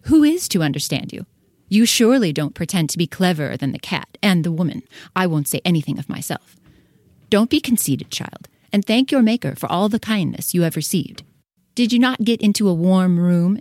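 A conceited storybook hen voiced by a woman lectures in a slightly low voice. She is bossy and preachy, sure of her own wisdom. The sound is dry, with very little room. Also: a little mellow, no echo, lightly acted. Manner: bossy, preachy, self-righteous. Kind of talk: full-cast storybook dramatization.

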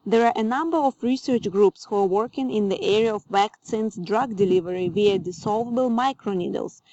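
A woman from Ukraine leaving a recorded phone question for a podcast, careful and measured. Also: mid-range voice, dark tone, muffled recording. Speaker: listener calling in a question. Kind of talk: recorded voice message.

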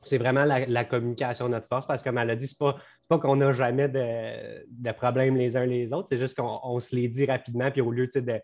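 A man's voice: mid-range voice, flat delivery, a little fast, few pauses, darkish tone, soft background music. A young man with a Quebec French accent, a business co-owner talking loosely and at length in a casual podcast conversation, dropping in English words. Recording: slightly dull lo-fi sound.

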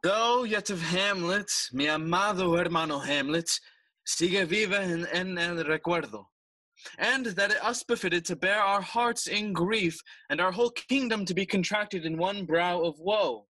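An actor playing a stately, smooth-talking usurper king with formal, declamatory oratory in a bilingual Spanish-English audio play. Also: high voice, a little slow, bright, forceful tone, no room sound, exaggerated voice, rough recording. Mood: solemn, regal.

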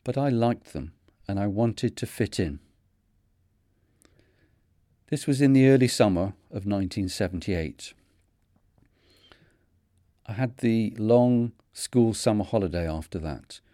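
Podcast host in his fifties, Manchester accent, low mellow voice, dry close sound, earnest reading. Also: no echo. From North of England. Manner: measured, earnest storytelling. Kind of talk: scripted reflective narration.

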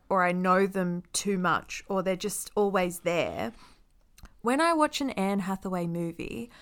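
A woman co-hosting a podcast in casual chat, speaking in a calm, mellow way. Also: on the low side, gravelly. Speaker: podcast co-host.

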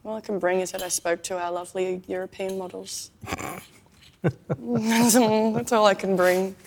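A woman's voice: medium pitch, flat delivery, a little slow, slight background noise. A woman co-hosting a podcast, chatting casually with a chesty cough and a voice that is going, often trailing off hesitantly. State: chesty cough, losing her voice. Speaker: podcast co-host. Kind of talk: casual chat.

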